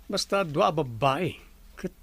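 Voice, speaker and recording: A man's voice: a little high, animated, slow, a bit bright, heavily acted. A man playing a courteous young resort owner in an Ilocano radio drama, with an Ilocano Filipino accent. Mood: intrigued.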